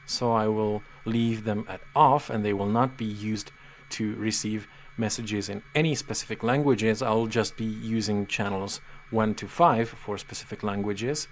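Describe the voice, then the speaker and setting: Patient man explaining software. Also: lowish voice, fairly even intonation, unhurried, slight background noise. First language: Czech. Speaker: software tutorial presenter. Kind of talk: screen-reader software walkthrough.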